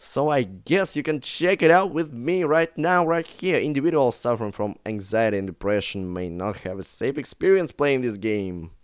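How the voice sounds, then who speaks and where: Young man with lively pitch, reading game text. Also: moderate pace, hardly any pauses, smooth, dry recording, studio quality. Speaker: gaming YouTuber. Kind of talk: let's play commentary, reading game text aloud. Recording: background music under the voice.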